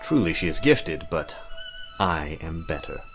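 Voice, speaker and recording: Low-voiced man playing an arrogant warlock, creepy, pompous formal diction, visual novel game, music behind. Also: very animated, a little slow, gravelly, full character voice. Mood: haughty. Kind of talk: visual novel character acting.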